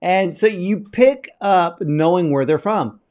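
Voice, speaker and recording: A man's voice: high-pitched, measured pace. Eager man on a tinny line. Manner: eager, chatty, restarting sentences. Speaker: film podcast host. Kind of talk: interview questions and chat.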